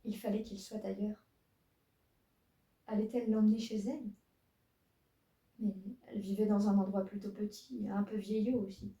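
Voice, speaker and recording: Brief: a woman reading her own story aloud, mellow and dark, in a roomy room. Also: medium pitch, little pitch movement, moderate pace, pauses often. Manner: self-mocking asides, stumbles over her text. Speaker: fanfiction reader. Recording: roomy, distant mic.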